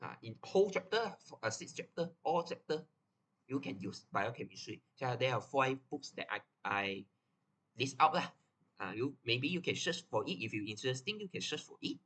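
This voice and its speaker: A man's voice: mid-range voice, sing-song, normal speed, a bit bright. A young man with a Malaysian English accent, giving a casual, chatty lesson on study tips. He often repeats himself and ends his phrases with "lah". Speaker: tutor.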